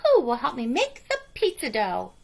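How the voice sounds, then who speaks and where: A woman voicing a brisk, self-reliant little hen in a storybook read-aloud, with a chirpy, emphatic way of talking. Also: on the high side, huge pitch swings, vocal fry, pitched above the performer's own voice, heavily acted. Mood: determined.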